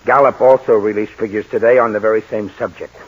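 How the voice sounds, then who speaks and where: An actor playing a practical, coaxing sidekick in a 1940s radio drama. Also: male voice, on the low side, fairly even intonation, quick pace, breathy edge. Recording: lo-fi 1940s radio broadcast with hiss.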